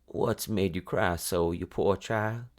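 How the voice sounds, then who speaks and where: A man's voice: sits low, slow pace. A man voicing a kindly, folksy old woman of the backwoods in a storybook reading. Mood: kindly soothing.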